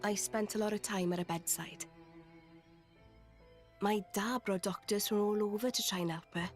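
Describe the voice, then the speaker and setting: A woman's voice: medium pitch, fairly even intonation, moderate pace, soft background music, lightly acted. A woman voicing a feisty, blunt young former noblewoman in a video game, with a cheeky, informal way of talking. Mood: wistful, sad.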